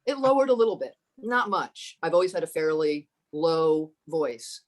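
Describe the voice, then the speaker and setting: A woman with a fairly low voice speaks as a podcast guest, thinking aloud and circling back on her words. She is earnest and unhurried and leans into the points she cares about. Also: lively intonation. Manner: thoughtful, halting, with many restarts. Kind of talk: reflective personal storytelling.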